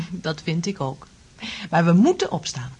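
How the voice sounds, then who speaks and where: Very husky, affectionate female lover. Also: on the low side, wildly swooping pitch, a little slow, darkish tone, exaggerated voice. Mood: tender. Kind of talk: radio play acting.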